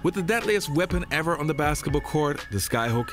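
Lively man narrating over music. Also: fairly high pitch, brisk. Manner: animated, list-style presentation. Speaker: basketball history channel host. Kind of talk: scripted narration. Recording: background music under voice.

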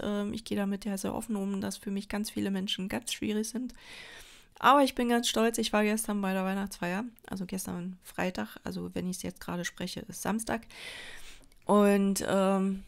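Chatty woman with a gravelly edge. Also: medium pitch. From Berlin, Germany. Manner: chatty, rambling, many fillers and restarts. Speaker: crafting vlogger. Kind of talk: casual chat while crafting. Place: indoors at a table.

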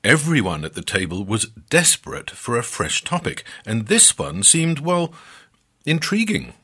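A man narrating a scripted story as a podcast storyteller, with dramatic, measured phrasing and drawn-out emphasis. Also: mid-range voice, big pitch swings, a bit bright, slightly rough.

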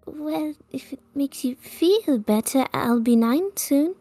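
A woman playing a timid, polite eight-year-old demigod boy, soft-spoken and hesitant, in a fantasy game roleplay. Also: sits high, lively intonation, slow, dry recording, faint music behind, heavily acted. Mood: shy, polite.

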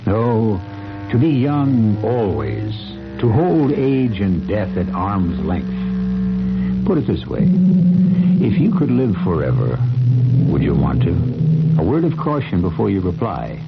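A man narrating a 1970s radio mystery in an ominous, deliberate, theatrical manner. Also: fairly low pitch, wildly swooping pitch, vocal fry, exaggerated voice. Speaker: host and narrator. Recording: muffled vintage radio broadcast with loud music.

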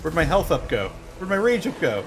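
Higher-voiced man, exasperated game streamer. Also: big pitch swings, a little fast. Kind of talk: casual gameplay chatter. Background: game music.

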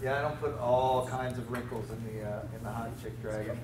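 A man's voice: medium pitch, fairly even intonation, brisk. A man painting a face who describes his work in a laid-back, jokey way, in a small indoor room. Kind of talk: casual demonstration talk. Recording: echoey room with background noise.

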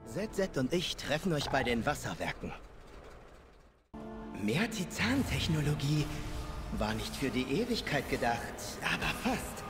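Animated, practical game engineer ally. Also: male voice, medium pitch, a little slow. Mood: eager, confident. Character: keen, practical male engineer and adventurer ally. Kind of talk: fantasy video game voice acting. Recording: game music behind the voice.

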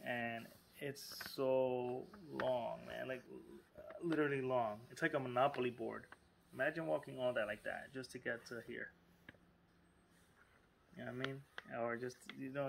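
Guy talking casually to his viewers, lots of "you know" and "look", normal middle pitch. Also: creaky, fairly bright tone, slight background noise. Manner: chatty and informal, lots of fillers and asides. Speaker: vlogger. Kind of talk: casual vlog narration.

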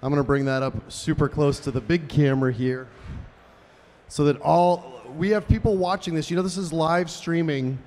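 A young man with a slightly high voice, hosting a live stage show in an upbeat, chatty way as he talks to the crowd. He speaks into a handheld mic in a large convention hall, with a faint wash of hall noise behind him. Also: fairly even intonation, normal speed, a little mellow. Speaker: stage host.

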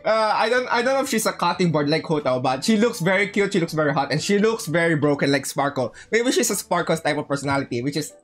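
High-voiced man streaming, excitable and slangy. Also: fast, hardly any pauses. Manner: excitable, slangy, repeats words and phrases. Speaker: livestreamer. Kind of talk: casual gaming livestream chat.

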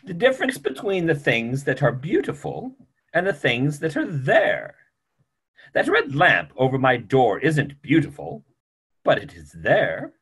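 A middle-aged actor playing a kindly, reflective old doctor in a stage play reading. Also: male voice, medium pitch, a bit bright, husky, full character voice. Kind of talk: stage play reading over video call. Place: small room, video call.

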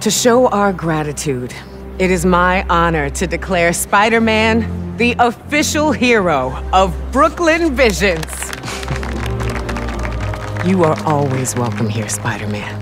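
Female school official, grateful and ceremonial, video game. Animated delivery over clear music. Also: lowish voice, takes it slowly. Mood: grateful, proud. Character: proud, grateful school official woman making an announcement.

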